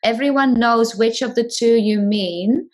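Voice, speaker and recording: A woman's voice: fairly high pitch, unhurried, a little mellow. A woman hosting a casual interview, encouraging and asking guiding questions. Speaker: host.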